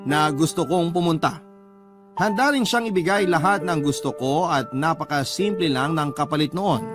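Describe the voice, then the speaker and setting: A Filipino man giving a dramatic first-person narration of a radio love story. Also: on the high side.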